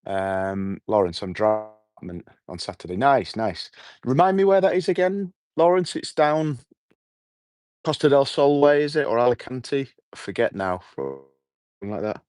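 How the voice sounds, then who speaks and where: A middle-aged man with a hoarse voice, hosting a relaxed, rambling evening livestream outdoors and chatting with his viewers. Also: mid-range voice, moderate pace, no echo, clean studio sound. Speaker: livestream host. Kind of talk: casual evening livestream chat. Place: outdoors at night.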